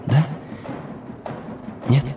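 An actor in a radio drama playing a resentful husband, defensive and sardonic, given to brooding. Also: male voice, extremely slow, over loud music. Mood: bitter brooding. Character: bitter resentful husband, a French masseur.

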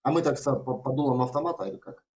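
A man's voice: mid-range voice, steady tone, racing, a little mellow. A man from Luhansk talking on a video chat, defensive and evasive under questioning. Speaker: chat roulette guest.

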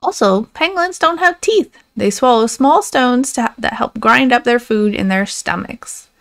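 A woman's voice: on the high side, lively intonation, unhurried, a bit bright. A woman reading a picture book aloud and talking to children as their story reader. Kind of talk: read-aloud narration for children.

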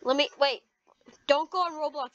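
An excitable gamer improvising a casual, dramatic video game roleplay. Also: high voice, moderate pace, soft background music. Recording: dull lo-fi mic with clear background noise.

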